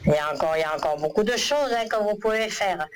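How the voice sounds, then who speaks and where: A woman's voice: mid-range voice, animated, fast. A woman gives a psychic reading in a phone-in consultation. She meanders and hesitates, filling gaps with ums. A faint hiss sits under her voice. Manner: hesitant, meandering, many fillers. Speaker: psychic reader.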